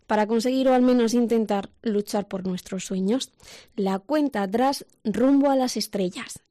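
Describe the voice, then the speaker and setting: Woman news reporter, scripted voice-over, mellow tone, dry close sound. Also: mid-range voice, dry recording.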